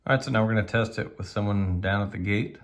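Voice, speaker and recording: A man with a fairly low voice narrates a hands-on product demonstration. He talks casually, feeling his way through each step and thinking aloud as he works the device. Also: quick pace. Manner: tentative, thinking aloud while operating a device.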